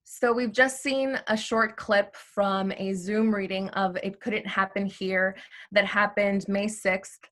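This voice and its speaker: Bright, even-toned woman interviewer. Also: medium pitch, slow. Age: young adult. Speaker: interviewer, host. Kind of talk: prepared interview questions.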